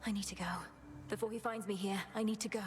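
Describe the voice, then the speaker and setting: A woman's voice: mid-range voice, steady tone, quick pace, natural voice. A woman voicing an earnest, determined young witch princess in a video game, speaking in a solemn, formal manner. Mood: urgent, resolute.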